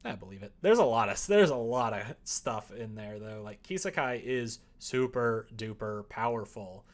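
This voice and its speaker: A man's voice: fairly low pitch, expressive, normal speed. A man streaming live, chatting casually with viewers and rambling as he thinks aloud.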